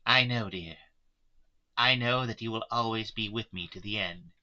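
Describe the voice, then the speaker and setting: A man's voice: fairly low pitch, normal speed, pushed and bright, dull audio. A man voicing an anguished but resolute wife in a novel, pleading earnestly and solemnly with her friends.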